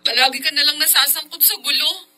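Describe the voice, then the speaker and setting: A woman's voice: sits high, fast, bright, forceful tone. A woman with a Filipino accent playing a hurt, reproachful young girlfriend in a radio drama.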